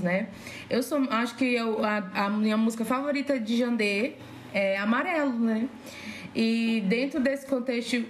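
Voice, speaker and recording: A woman with a Cearense Brazilian accent chatting casually and enthusiastically as a podcast guest. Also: fairly high pitch. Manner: gushing, enthusiastic. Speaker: podcast guest, slam poet. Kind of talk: casual chat. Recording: roomy echo, faint background noise.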